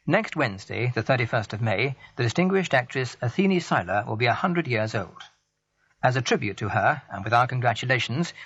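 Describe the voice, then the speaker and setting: A man's voice: medium pitch, fairly bright tone, slightly rough, no room sound. A man giving a formal, scripted introduction as a radio announcer. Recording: dull lo-fi radio broadcast.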